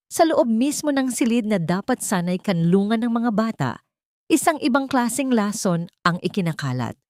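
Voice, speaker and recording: A woman's voice: mid-range voice, dry recording, clean studio sound. A woman narrating a scripted story in a dramatic style.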